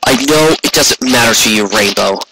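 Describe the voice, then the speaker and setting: A man's voice: mid-range voice, normal speed, background noise, close to an everyday voice. A man voicing a weary, wounded male pony guard captain, proud and dutiful, speaking in a tired, firm and terse way. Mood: strained, dutiful.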